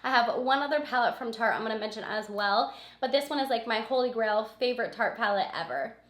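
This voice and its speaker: A woman's voice: a little high, a little fast, roomy sound. A woman beauty vlogger with a cold and a scratchy, sniffly voice, chatting casually and gushing about her favorites, repeating words for emphasis. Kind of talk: casual product review chat.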